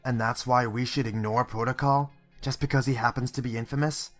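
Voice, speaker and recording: A man's voice: mid-range voice, steady tone, brisk, close to an everyday voice. A man playing a restrained, by-the-book police detective in a radio drama, speaking firmly and with control. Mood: exasperated. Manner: firm, controlled, reproachful. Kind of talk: amateur radio drama acting. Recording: roomy, slightly distant, music underneath.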